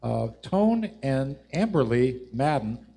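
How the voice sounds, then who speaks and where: A man's voice: mid-range voice, big pitch swings, takes it slowly, gravelly, background noise. A man hosting a live show, casually introducing the performers. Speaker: show host.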